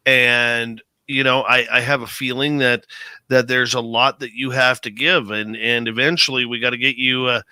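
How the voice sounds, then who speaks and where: Bright, punchy radio host. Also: male voice, medium pitch, dry recording. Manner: enthusiastic, emphatic. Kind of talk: radio interview.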